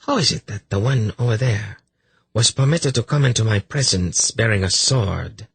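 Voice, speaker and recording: A man voicing a cruel, imperious and suspicious high priestess in a fantasy audiobook, with cold, menacing, sarcastic delivery. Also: lively intonation, normal speed, vocal fry, fairly bright tone, breathy edge, dry recording, heavily acted. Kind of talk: fantasy audiobook character acting.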